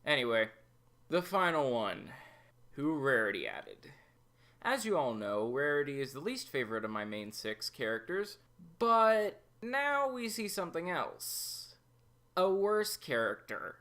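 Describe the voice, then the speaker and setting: A man delivers episode commentary as the host of a review show. His voice is bright and quite animated in pitch, yet he takes his time, laying out opinions slowly and deliberately.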